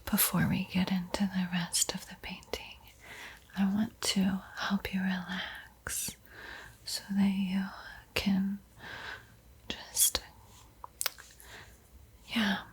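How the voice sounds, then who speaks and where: A woman playing a soothing but secretly hungry vampire art teacher in a breathy, coaxing whisper for an ASMR roleplay. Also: mid-range voice, monotone, exaggerated voice. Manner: slow, hushed, coaxing, hesitant pauses.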